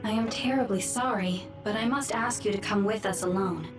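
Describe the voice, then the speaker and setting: An actress playing a courteous, solemn attendant who is apologetic but firm, speaking formally in a video game dub. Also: female voice, mid-range voice, moderate pace, reverberant, far from the mic, music in the background, lightly acted. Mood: apologetic, grave.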